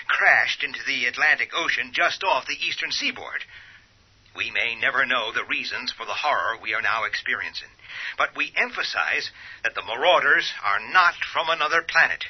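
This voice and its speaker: An actor playing a grave radio newsreader delivering a formal, solemn emergency bulletin in a husky voice. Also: male voice, mid-range voice. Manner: formal, solemn, deliberate announcements. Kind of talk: scripted emergency news bulletin in radio drama. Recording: dull lo-fi radio bulletin sound.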